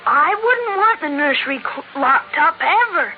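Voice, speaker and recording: A woman's voice: sits high, very animated, measured pace, narrow, tinny audio. A woman playing a spoiled, insistent young son in a 1950s radio drama. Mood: pleading. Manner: whiny, insistent. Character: young boy, pleading spoiled son, quietly menacing. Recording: band-limited hissy old radio recording.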